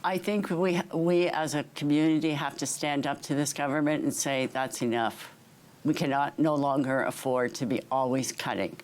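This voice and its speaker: A woman's voice: deep voice, steady tone, normal speed, darkish tone. A woman answering interview questions as a trustee candidate, earnest and hesitant, with frequent fillers.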